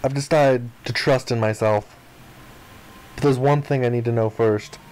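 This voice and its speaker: A man's voice: medium pitch, fairly even intonation, normal speed, a little mellow, slight background noise, low-quality recording. Man dramatically reading story text aloud. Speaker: let's player reading a visual novel aloud. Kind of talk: dramatic read-aloud narration of game text.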